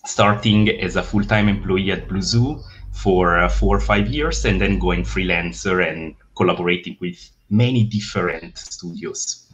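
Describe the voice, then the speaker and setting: A man in his thirties with a Swiss Italian accent, a guest chatting in a relaxed, joking way on a video call, with frequent uh fillers. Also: low-pitched, a little slow, muffled recording, slight background noise. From Italian-speaking Switzerland. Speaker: guest animation director. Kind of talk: casual video-call interview. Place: home room, indoors. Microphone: webcam on a video call.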